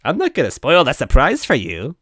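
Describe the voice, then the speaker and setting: A man's voice: fairly high pitch, huge pitch swings, a bit bright, dry recording, studio quality, pitched above the performer's own voice, full character voice. A man voicing a mischievous, taunting male ghost in a story reading, with slangy, teasing delivery. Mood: taunting, gleeful. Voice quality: distorted. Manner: teasing, slangy, drawn-out exclamations.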